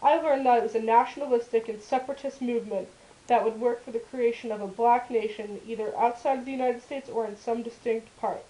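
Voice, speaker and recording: Girl doing a puppet show activist guy, firm and defiant, kinda high voice, reading out his story. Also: steady tone, brisk, mellow, lightly acted, poor audio. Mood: earnest, firm. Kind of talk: scripted first-person narration for a school puppet show.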